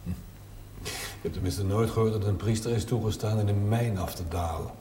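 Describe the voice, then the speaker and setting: Actor as a mellow, measured man, very reverberant. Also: fairly low pitch, fairly even intonation, natural voice. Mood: wry, probing. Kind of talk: naturalistic television drama acting. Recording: roomy, distant, faintly noisy 1970s television soundtrack.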